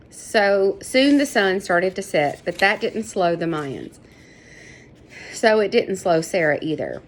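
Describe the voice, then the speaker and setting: A woman's voice: fairly low pitch, slight background noise. A woman reading a children's story aloud, stumbling over names and correcting herself. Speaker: reader of a children's book. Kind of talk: read-aloud story narration.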